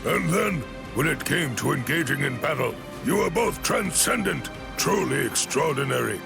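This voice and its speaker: Stern old sword master, heavy rasping creak, formal and imposing, anime game drama, music underneath. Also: male voice, a little high, lively intonation, normal speed. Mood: solemn regret. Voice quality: gravelly, creaky. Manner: commanding, formal, severe. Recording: orchestral game music behind the voice.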